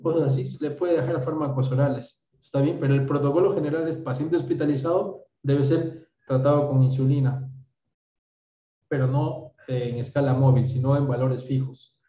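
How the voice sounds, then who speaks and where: A man's voice: mid-range voice, fairly even intonation, normal speed, dark tone. A Peruvian man in his thirties, a doctor teaching an online workshop, explaining step by step and often checking with "¿está bien?". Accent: Peruvian Spanish. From Peru. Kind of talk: online medical lecture answering questions. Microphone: video call. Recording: roomy, distant, dull video call audio.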